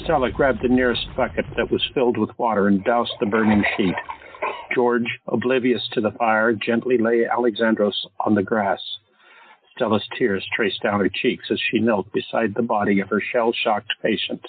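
A man narrating an audio drama in a steady, dramatic storytelling manner. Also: fairly low pitch, normal speed, slightly rough, narrow, tinny audio.

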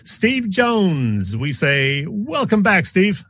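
A man's voice: high voice, very animated, very slow, fairly bright tone. An American man co-hosting a sports show, talking and asking questions in a conversational style.

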